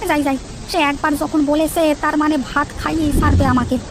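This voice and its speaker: A woman's voice: sits high, steady tone, fairly quick, noisy background. A woman voicing an anxious married village farmer in a children's cartoon, exclaiming and lamenting in homely colloquial speech. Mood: grumbling, worried. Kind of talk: children's moral-story cartoon dubbing.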